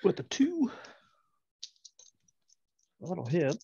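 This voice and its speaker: A man's voice: high voice, wildly swooping pitch, drawn-out words, creaky, warm tone, husky, no echo, muffled recording. A man playing a tabletop role-playing game, casually calling his attacks and dice results.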